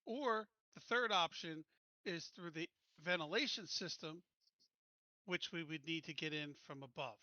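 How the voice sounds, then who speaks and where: Male player, sharp and forceful higher voice, casual stop-and-start planning, dry. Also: unhurried, no echo, highs cut off. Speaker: tabletop game player. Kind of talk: casual game planning talk.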